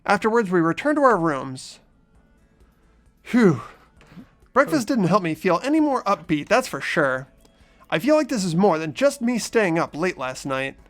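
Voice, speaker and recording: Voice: mid-range voice, sing-song, moderate pace, long pauses, faint music behind, natural voice. A performer voicing a mild, earnest teenage schoolboy who narrates and chats in a fan-voiced visual novel game. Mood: downcast.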